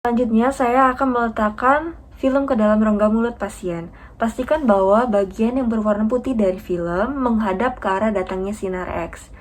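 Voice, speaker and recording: A woman's voice: on the high side, moderate pace. A young woman giving a polite, methodical, formal step-by-step demonstration as a student. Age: young adult. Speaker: dental student demonstrating a radiography procedure. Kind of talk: instructional skills demonstration, step-by-step explanation.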